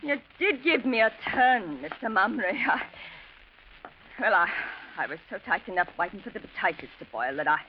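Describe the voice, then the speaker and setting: An actress playing a flustered, servile household cook who exclaims breathlessly, in old radio drama style. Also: female voice, mid-range voice, sing-song, moderate pace, close to an everyday voice. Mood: startled, flustered. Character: flustered, easily startled female household cook. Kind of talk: 1940s radio acting. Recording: muffled, noisy old radio broadcast.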